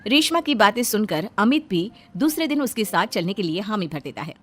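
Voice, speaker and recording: A woman's voice: mid-range voice, very animated, fairly quick, slight background noise. A woman narrating a scripted cartoon story.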